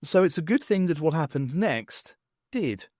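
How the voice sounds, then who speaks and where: A male audiobook narrator tells a story in a slightly high voice. His pitch moves around a great deal as he gives an expressive, dramatic reading. The sound is very dry, with no room around it. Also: normal speed, no echo. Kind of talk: scripted dramatic narration of fiction.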